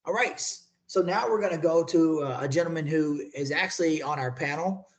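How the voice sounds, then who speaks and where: Halting man hosting a virtual event. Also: fairly high pitch. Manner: halting, repeats words, many fillers. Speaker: event host and moderator.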